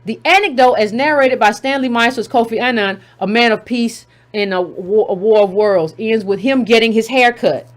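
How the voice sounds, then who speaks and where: A woman with a somewhat high-pitched voice reads a passage aloud to her audience. She stops often to comment in her own words, and she repeats key phrases to drive them home. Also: normal speed, hardly any pauses. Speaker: commentary channel host. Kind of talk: reading a text aloud with running commentary.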